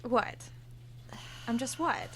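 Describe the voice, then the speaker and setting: Brazen cashier, slightly high voice. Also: female voice, slow, slight background noise. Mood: breezy, dismissive. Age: 30s. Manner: breezy, sardonic, self-assured. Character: brazen, entitled thirty-year-old store cashier, sly confidante. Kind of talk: horror audio drama dialogue.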